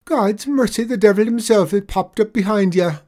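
Storybook figure: man voicing a high, wheedling, tricky leprechaun with an Irish lilt. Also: normal speed, a little mellow, close to an everyday voice. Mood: alarmed. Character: sly little male leprechaun cobbler, tricky.

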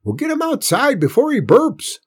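Man doing a husky folksy old grandpa. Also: high voice, wildly swooping pitch, moderate pace, a little mellow, pitched above the performer's own voice, heavily acted. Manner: folksy rural drawl. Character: old country grandpa, stubborn and fond.

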